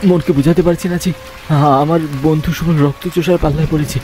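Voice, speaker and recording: A man's voice: fairly high pitch, steady tone, fairly quick, mellow, faint music behind. Man narrating a horror cartoon. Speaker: narrator and voice actor. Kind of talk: dramatic horror storytelling.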